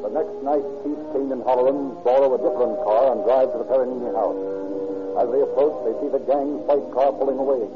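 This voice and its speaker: Male narrator for vintage radio crime drama, brisk pace, tinny narrow sound, music behind. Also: mid-range voice, steady tone. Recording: tinny old radio recording.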